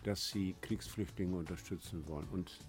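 A man's voice: sits low, fairly even intonation, normal speed, a little mellow, faint music behind. A man speaking as an expert guest on a radio panel, earnest and hesitant with frequent restarts.

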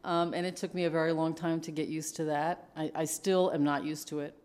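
Brief: older American woman from New York, slightly low voice, hesitant, reflective interview answers. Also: flat delivery, fairly quick, a little mellow, clean tone. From New York, USA. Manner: hesitant, reflective, with fillers and restarts.

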